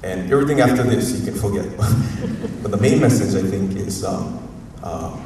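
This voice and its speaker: A university professor from Hawaii with a Hawaiian accent, giving a rambling, informal, unscripted talk at a community forum and often chuckling. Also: male voice, on the low side, moderate pace, warm tone. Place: museum auditorium. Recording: echoey hall, distant mic, faint noise.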